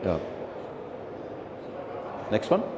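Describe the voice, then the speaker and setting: A man giving a slide talk to an audience, speaking haltingly with many fillers and restarts and calling for the next slide. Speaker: presenter at a philatelic society meeting. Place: meeting hall. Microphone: handheld or lapel mic. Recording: echoey hall, noisy room recording.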